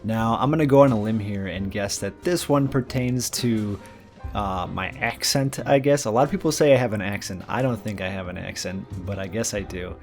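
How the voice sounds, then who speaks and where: Man, mid-twenties, casual gaming host, rambling, swears, lowish voice, soft music behind. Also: normal speed. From Minnesota, USA. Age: mid-20s. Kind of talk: casual viewer Q&A and game news.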